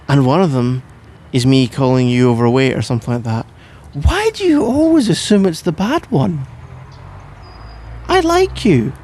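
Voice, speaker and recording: A man's voice: high voice, a little mellow, heavily acted. A man playing a sleepy, grumbling, playful husband in an ASMR roleplay, rambling and bantering with mock indignation. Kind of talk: ASMR roleplay, improvised-sounding one-sided conversation.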